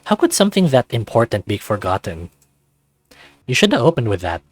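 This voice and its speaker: A man's voice: medium pitch, wildly swooping pitch, a little fast, no room sound. A man voicing a sarcastic, incredulous teenage guy, the hero of a visual novel, with teasing, questioning delivery. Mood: teasing, indignant. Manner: dry, teasing, exclamatory questions.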